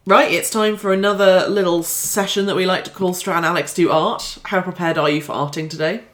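A woman's voice: fairly low pitch, steady tone, brisk, a bit bright. A woman hosting a podcast in a jokey, giggly way, chatting casually and sometimes reading facts aloud. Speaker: podcast host.

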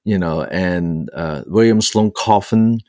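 A man's voice: sits low, darkish tone, no echo, clean studio sound. A man in his sixties from Hong Kong tells his personal story as an interview guest, hesitating often as he searches for words. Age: 60s. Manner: halting, frequent long hesitations and repeated fillers while searching for words. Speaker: guest, priest and author.

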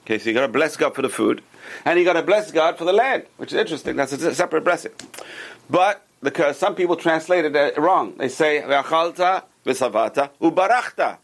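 Man teaching a class, higher voice. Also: lively intonation, fairly quick. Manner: explains step by step, repeats key points, questions the class. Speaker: Torah teacher. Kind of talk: informal class lecture with group discussion. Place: room with students around a table.

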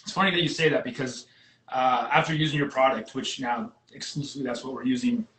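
A man's voice: medium pitch, steady tone, a bit bright. Man hosting with a roomy echo. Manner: upbeat, joking, quick questions. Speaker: podcast host. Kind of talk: casual interview chat.

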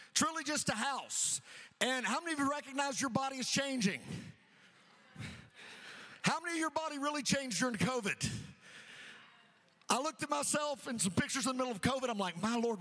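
A middle-aged man preaching an energetic sermon, repeating phrases rhythmically and calling on the congregation to answer. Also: very high-pitched, big-room sound. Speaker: pastor. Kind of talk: energetic sermon with call-and-response.

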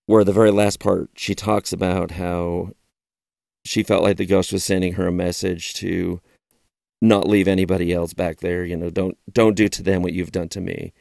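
A man's voice: low-pitched, little pitch movement, moderate pace, gravelly, a little mellow, dry recording, studio quality. A man hosting a podcast, talking to listeners as its host and songwriter.

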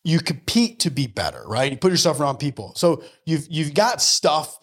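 A man's voice: on the high side, very animated, normal speed. A man in his early forties talking conversationally as an interview guest, earnest and motivational, often checking in with "right?". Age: early 40s. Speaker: podcast guest, mortgage lender and business owner.

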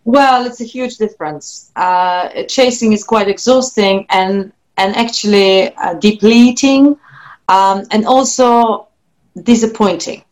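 Polish woman musician, talks slow and thoughtful, restarts a lot. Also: medium pitch, rough recording. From Poland. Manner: thoughtful, frequent restarts and soft laughs. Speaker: guest singer-songwriter. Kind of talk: reflective interview talk.

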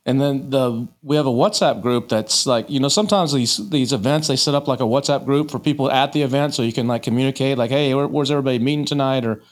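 A man's voice: mid-range voice, fast talker, hardly any pauses. A man hosting a podcast, asking questions in a casual, rambling way full of filler words. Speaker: podcast host. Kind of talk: casual interview chat.

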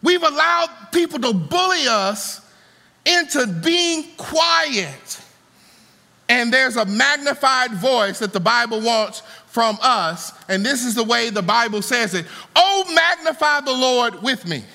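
A man preaching a sermon to a congregation, swinging from soaring lines to low, conversational asides. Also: animated, takes it slowly, gravelly, pushed and bright. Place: church auditorium. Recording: long hall reverb.

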